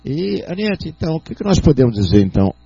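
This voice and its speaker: A Brazilian Portuguese-accented man hosting a radio talk show, with a mellow, dark, raspy voice. He rambles through his questions with many fillers. The sound is dry and dull, like lo-fi radio. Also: on the high side, sing-song, fast, no echo.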